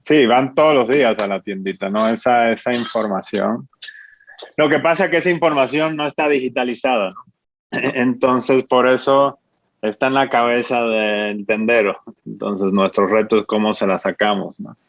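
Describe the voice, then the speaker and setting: A man in his forties with a Dominican accent, explaining his business as an interview guest in a measured way, often ending with a questioning tag. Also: medium pitch, no echo. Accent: Dominican Spanish. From Dominican Republic. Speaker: fintech founder, guest. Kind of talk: interview answers. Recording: muffled dry sound.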